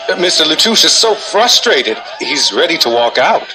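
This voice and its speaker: A man's voice: on the high side, very animated, normal speed, low-quality recording. A man playing an exasperated, fretful Broadway designer in an audio drama. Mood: frustrated.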